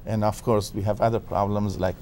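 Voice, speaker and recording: Older Afghan guest expert, halting and full of fillers, dark and gravelly voice, faint noise behind. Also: male voice, fairly low pitch, flat delivery. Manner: hesitant, many fillers and restarts. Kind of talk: TV interview.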